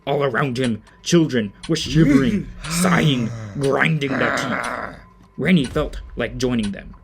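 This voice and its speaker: A man's voice: medium pitch, lively intonation, a little slow, gravelly, noisy background. A man narrating an audio drama in a scripted storybook style.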